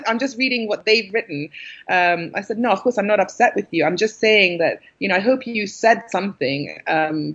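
A woman's voice: mid-range voice, slightly rough. British lady chatting in an interview, lots of ums, kinda dull muffled audio. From Britain. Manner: animated, frank storytelling with frequent fillers. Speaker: cookbook author, guest. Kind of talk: casual interview conversation.

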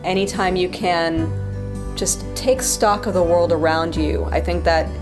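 A woman's voice: on the low side, steady tone, measured pace. A woman talking reflectively in an interview, explaining her creative views in a thoughtful way. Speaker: interview guest, sound effects artist and writer. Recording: music playing behind the voice. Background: music.

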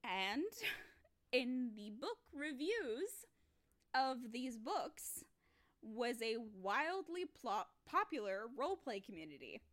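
Young woman co-host, lively animated pitch, rambling and giggly. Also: slow. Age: young adult. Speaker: podcast co-host. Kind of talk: casual chat.

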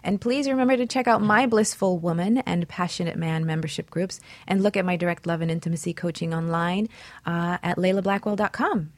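A woman's voice: fairly low pitch, steady tone, a little fast. A woman hosting a talk show in a conversational way, speaking as a relationship coach.

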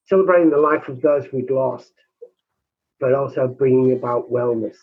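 Man, minister, squeaky and husky, halting delivery, phone-line sound. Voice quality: high, squeaky voice. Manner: halting, many restarts and repeated words. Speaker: rural minister. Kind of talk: conversational interview.

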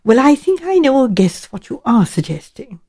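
A woman voicing a shrewd, polite elderly English lady detective, precise and gently probing. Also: on the high side, sing-song, moderate pace. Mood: careful, deducing.

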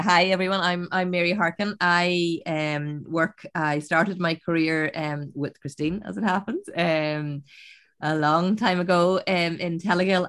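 An Irish woman on a video call, speaking as a sound recordist in a panel, chatty and relaxed with frequent restarts. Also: on the low side, clean tone. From Ireland. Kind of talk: panel discussion.

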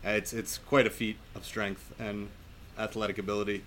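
A man's voice: lowish voice, level tone, normal speed, fairly bright tone, a little hiss or hum. A man chatting casually about films in an enthusiastic, loosely wandering conversational way. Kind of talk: casual film chat.

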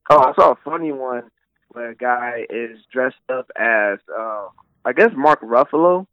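This is a man in his thirties who calls in to a podcast and tells his stories in a relaxed, easygoing way. His voice comes through a dull, muffled phone line, and the sound is dry with no room around it. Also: mid-range voice, unhurried, no echo. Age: 30s. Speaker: podcast guest on a phone call. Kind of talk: casual phone chat.

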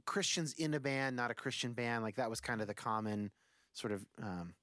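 A man's voice: medium pitch, normal speed, fairly bright tone, no echo, studio quality. A man in his forties, a musician guest on a podcast, talking casually in a rambling, thoughtful way with many fillers. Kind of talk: casual conversation.

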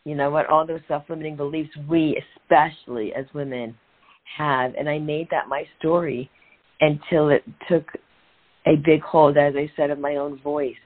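A middle-aged woman guest telling her own story, earnest and reflective, speaking in short phrases with pauses. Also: low voice, fairly even intonation. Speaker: guest, author and transformational coach. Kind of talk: candid personal story in a podcast interview. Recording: tinny phone-like line.

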